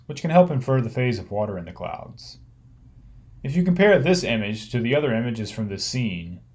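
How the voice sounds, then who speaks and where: A man narrating an instructional slide lecture, explaining things step by step in measured, clearly organised phrases. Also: fairly low pitch, a little mellow.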